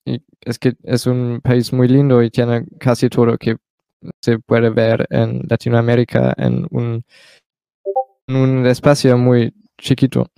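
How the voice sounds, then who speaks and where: A seventeen-year-old boy from Germany speaking Spanish as a learner, pausing often with fillers as an interview guest. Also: mid-range voice, completely flat delivery, vocal fry, mellow, clear, resonant tone, no echo, poor audio. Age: teens. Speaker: exchange student guest. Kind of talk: casual interview.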